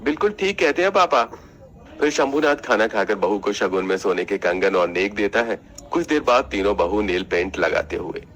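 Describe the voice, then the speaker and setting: A man's voice: low-pitched, normal speed, few pauses, a bit bright. A man reading scripted narration for a cartoon family story.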